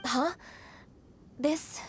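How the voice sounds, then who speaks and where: A woman playing a gentle, wistful, youthful half-human immortal in a video game, polite, soft-spoken and hesitant. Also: drawn-out words, slight background noise. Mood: hesitant, sombre. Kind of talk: earnest video game acting.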